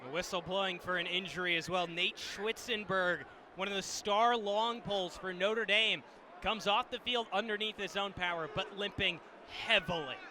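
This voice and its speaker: A man's voice: high voice, moderate pace. A man giving color commentary and analysis during a live lacrosse radio broadcast. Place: live broadcast at a sports venue. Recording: noisy outdoor stadium ambience.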